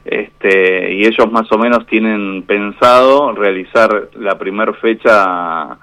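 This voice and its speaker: A man with an Argentine Spanish accent, a boxing referee speaking as a guest over the phone on a radio show, measured and hesitant with many fillers. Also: fairly low pitch, bright, forceful tone. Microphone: phone line.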